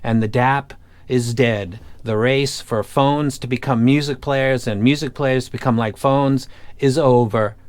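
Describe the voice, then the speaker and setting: A man's voice: mid-range voice, fairly even intonation, a little slow, darkish tone, no echo. A man talking casually and off the cuff as an online audio gear reviewer, blunt, opinionated and colloquial. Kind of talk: casual unscripted review talk.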